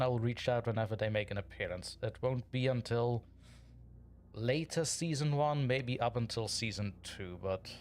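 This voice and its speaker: A man hosting a livestream, chatting casually and playfully with his audience and reading their comments aloud, often chuckling. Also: mid-range voice. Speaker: livestream host reading chat.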